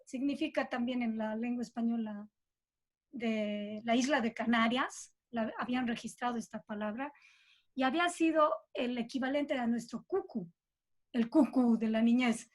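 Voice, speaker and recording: A woman's voice: fairly high pitch, moderate pace. A woman with a Bolivian Spanish accent, talking conversationally as an interview guest about her own creative work, often checking in with tag questions. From Cochabamba, Bolivia. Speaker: guest composer.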